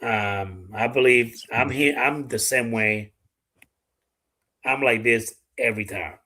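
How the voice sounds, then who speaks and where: A man's voice: mid-range voice, takes it slowly, pushed and bright. A middle-aged man from the Dominican Republic with a Dominican accent, hosting a casual live chat, talking bluntly and swearing freely. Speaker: livestream host.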